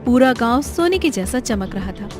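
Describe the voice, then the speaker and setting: A woman's voice: fairly high pitch, animated, moderate pace. A woman reading scripted narration for a cartoon moral story. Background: background music.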